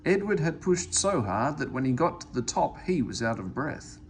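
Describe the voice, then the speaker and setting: A man reading a children's story aloud in an expressive, animated way. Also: a little high, normal speed, slight background noise. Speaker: storybook reader.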